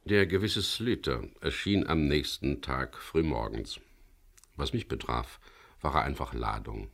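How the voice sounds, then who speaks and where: Very deep, gravelly world-weary skipper. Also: male voice, measured pace, fairly bright tone, exaggerated voice. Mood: dry, reflective. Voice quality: gravelly, husky. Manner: laconic, dry, ironic. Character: world-weary middle-aged English charter skipper, wry smuggler narrator. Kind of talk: first-person radio crime drama narration with dialogue.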